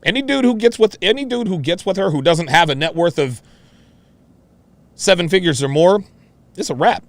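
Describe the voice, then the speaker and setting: Male commentary host, brash and foul-mouthed, higher-pitched voice, very expressive, mocking delivery. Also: moderate pace, dry recording. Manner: brash, mocking, profane, repetitive. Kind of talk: reaction commentary on a played clip.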